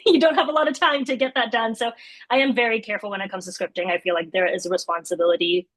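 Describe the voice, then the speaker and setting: A woman's voice: on the high side, steady tone, quick pace, no echo. A young adult woman from Singapore, a guest in a casual interview, talking thoughtfully and laughing often.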